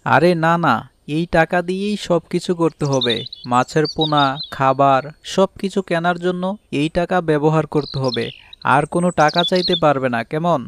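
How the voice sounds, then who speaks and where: A man acts out a cartoon bird husband with a fairly high voice. He explains in a measured, fair, instructive way for a children's moral story. Light noise with birds and crickets sits behind him. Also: close to an everyday voice. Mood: firm, encouraging. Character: husband bird with two wives, advising and fair.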